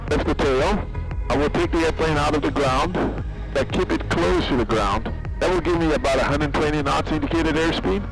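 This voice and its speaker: A man's voice: fairly high pitch, normal speed, low-quality recording. A man from Brazil with a Brazilian accent, a pilot instructing and briefing in a small plane over a headset. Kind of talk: in-flight briefing and coaching. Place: small aerobatic plane cockpit. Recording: cockpit radio audio under loud music.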